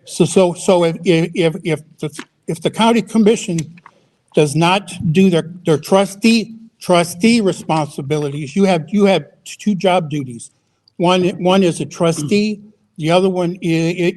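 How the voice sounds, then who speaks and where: Halting man quoting law at a town hall. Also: sits high, darkish tone. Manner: halting, repeats words while quoting law. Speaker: public commenter. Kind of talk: public comment at a town hall. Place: high school auditorium.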